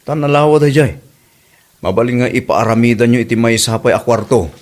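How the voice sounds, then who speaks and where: Man playing a businesslike landlord, medium pitch. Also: fairly quick, lightly acted. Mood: matter-of-fact. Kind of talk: Ilocano comedy drama acting.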